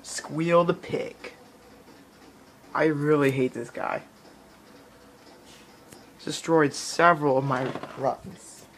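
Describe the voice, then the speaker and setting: Rambling male gamer over clear background noise. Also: on the high side, fairly even intonation, takes it slowly, long pauses, fairly bright tone. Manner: rambling, repeats phrases. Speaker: gamer recording his playthrough. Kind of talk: casual gameplay commentary. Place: indoor room in front of a TV. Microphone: handheld camera or phone filming the screen. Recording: noisy handheld camera recording in a room.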